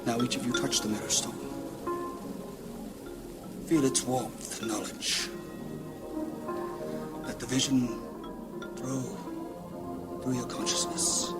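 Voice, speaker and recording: A man performs a grave wizard leader in a fantasy audio drama, in a mid-to-high male voice. He speaks with formal, solemn authority, and strong music plays behind him. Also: steady tone, slow pace, long pauses, close to an everyday voice. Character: grave male archmage leading a council of wizards. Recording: loud orchestral music behind.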